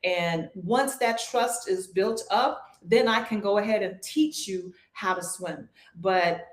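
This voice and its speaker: A woman who teaches swimming, answering interview questions in a calm, reassuring way and repeating her key points. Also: mid-range voice, slow pace. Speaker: swim instructor. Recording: echoey room.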